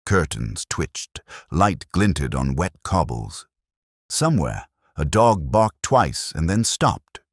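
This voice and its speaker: Very deep male mystery narrator. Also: sing-song, slow pace, breathy edge, no echo, clean studio sound. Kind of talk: scripted narration of a detective mystery story.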